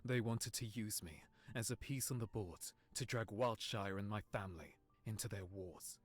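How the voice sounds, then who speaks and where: A man playing a guarded, heartbroken young nobleman in a visual novel, speaking in an earnest, measured way. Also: fairly low pitch, slightly rough. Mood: bitter, hurt. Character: heartbroken young nobleman, guarded, wounded, confiding in his servant. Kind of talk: visual novel character acting.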